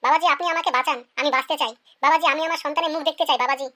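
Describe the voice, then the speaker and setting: Cartoon comedy village woman played by an actress: piercingly high, fast-talking, chatty and wheedling. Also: fairly even intonation, sharp tone. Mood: pleading.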